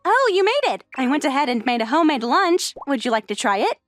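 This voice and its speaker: Woman as anime high school heroine, high voice, expressive intonation, poised, polite, earnest, faint music. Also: fast talker, no echo. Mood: proud, polite. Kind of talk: anime-style comic dub acting.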